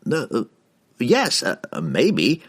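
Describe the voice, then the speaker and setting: A man's voice: a little high, huge pitch swings, normal speed, fairly bright tone, pitched above the performer's own voice, heavily acted. A man voicing a timid, easily cowed male wizard in a fantasy audiobook, speaking in a hesitant, stammering way. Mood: flustered.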